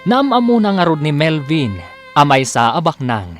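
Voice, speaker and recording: A man's voice: on the high side, big pitch swings, moderate pace, faint music behind. A man with an Ilocano accent announcing and narrating a radio drama serial in a dramatic scripted style.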